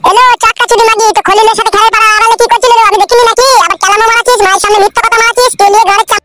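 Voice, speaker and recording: A woman's voice: very high-pitched, fairly even intonation, racing, bright, forceful tone, no room sound. A woman voicing an aggrieved, foul-mouthed young cartoon son-in-law who argues and complains sarcastically. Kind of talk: crude comedy cartoon dubbing.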